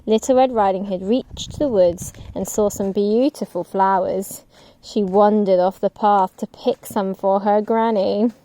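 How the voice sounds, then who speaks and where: A woman's voice: medium pitch, steady tone, a little slow, warm tone, low-quality recording. A woman telling a story to young children as a storybook reader.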